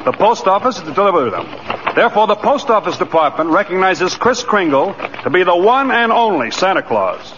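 An actor playing an earnest, persistent defense lawyer with formal delivery in a 1940s radio drama. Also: male voice, sits high, very animated, brisk. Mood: triumphant. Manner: formal, oratorical. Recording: dull old radio recording, faint noise.

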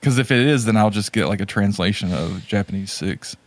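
A man's voice: lowish voice, fairly quick. A man chatting casually about video games as a podcast co-host, in a hesitant, laid-back way.

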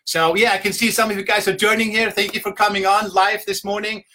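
A middle-aged man with an Icelandic accent talks informally to an online audience as a business coach. His voice is bright and forceful, pitched slightly higher than most men's. He tells stories in a loose, conversational way. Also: little pitch movement, brisk. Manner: conversational, rambling storytelling with repeated "you know". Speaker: business coach giving a motivational talk. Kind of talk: informal motivational talk to a live online audience. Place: at home, indoors.

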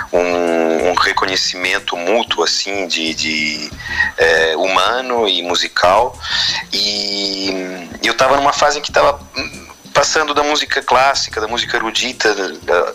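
Low-voiced bright musician, halting speech. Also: male voice, rough recording. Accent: mixed Brazilian and European Portuguese. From Argentina. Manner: thoughtful, halting, many restarts and fillers. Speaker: musician guest. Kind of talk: reflective interview answers.

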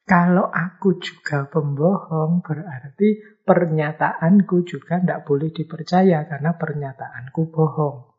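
This is a man with a fairly high-set voice. He explains like an informal lecturer, posing questions and answering them himself. His delivery is conversational, leaning hard on some phrases and dropping softly on others. The recording is somewhat dull and lo-fi. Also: measured pace. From Indonesia. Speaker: philosophy lecturer.

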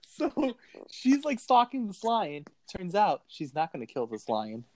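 A woman's voice: lowish voice, huge pitch swings, a little slow, a little mellow, slight background noise. A woman casually recapping a movie on a podcast, laughing often and making sarcastic asides. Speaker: podcast co-host.

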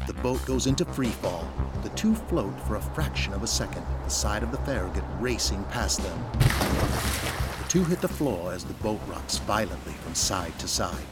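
A man narrates an audio drama in measured, descriptive storytelling. His lowish voice has a lively, moving pitch, and music plays behind him.

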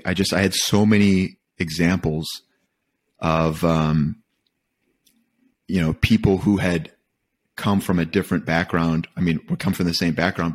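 A man from Michigan with a very deep voice speaks as an interview guest. He talks casually and thoughtfully, often stopping to rephrase a point as he works through it. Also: breathy edge. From Michigan, United States. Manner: thoughtful, halting, rephrases as he goes. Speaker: podcast guest. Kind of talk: casual reflective interview talk.

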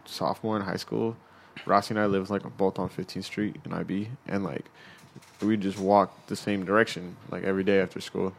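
Low-voiced man telling rambling stories. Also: little pitch movement, a little fast, vocal fry. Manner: rambling storytelling, lots of "like". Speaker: podcast co-host. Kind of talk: casual podcast chat.

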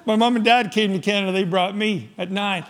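Scottish-born pastor guy preaching, pretty high voice, asks lots of questions, gets loud then real quiet. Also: brisk, a crowd in the background. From Scotland. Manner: rhetorical questions, rises loud then drops soft. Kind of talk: sermon.

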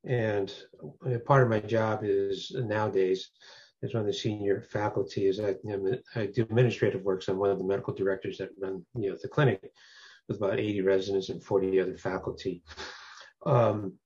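An older man gives a long, meandering self-introduction, stopping often on filler sounds. His voice is husky and sits a little low. The audio is dull and lo-fi. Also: steady tone, darkish tone. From San Francisco. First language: Toishanese/Cantonese. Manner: rambling, many filler pauses. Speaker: physician guest.

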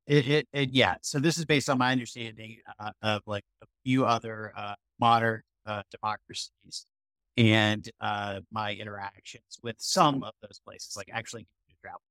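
A man's voice: fairly low pitch, fairly bright tone, no echo. Male podcast guest, conversational, hesitant and hedging, very little room sound. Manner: halting, hedging, searching for words. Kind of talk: casual opinionated discussion.

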